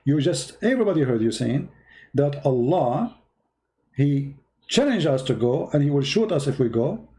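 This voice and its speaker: Combative high-voiced man mocking a caller. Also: big pitch swings, moderate pace, dark tone. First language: Arabic. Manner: mocking, combative, repeats questions insistently. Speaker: debate show host. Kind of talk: heated live debate call.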